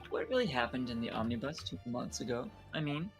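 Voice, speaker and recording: A man's voice: mid-range voice, expressive, fairly quick, faint music behind, lightly acted. A man voicing an earnest, anxious young defense lawyer, speaking politely in a video game fan dub. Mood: pleading.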